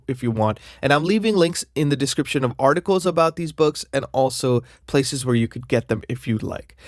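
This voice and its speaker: A man's voice: mid-range voice, dry recording. Man, book channel host, rambling casual chat, dry close sound. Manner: rambling, many "kind of" fillers.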